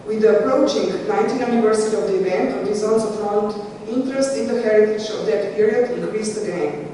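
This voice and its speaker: A woman's voice: mid-range voice, fairly quick, warm tone, slight background noise. Slovenian woman speaking in an echoey hall. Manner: hesitant, many fillers and restarts. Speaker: conservator giving a conference talk. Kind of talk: conference presentation with slides. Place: conference hall. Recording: echoey hall heard from far off.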